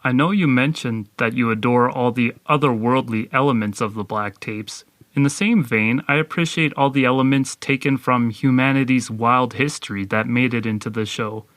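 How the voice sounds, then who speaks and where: A man co-hosting a podcast, delivering a scripted review in a measured presenting style. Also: medium pitch, fairly bright tone, no echo.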